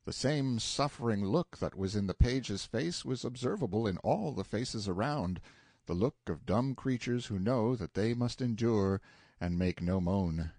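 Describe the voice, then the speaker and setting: A man reading a classic novel as an audiobook narrator, in an expressive, scripted literary style. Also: on the low side, unhurried, no room sound, clean studio sound.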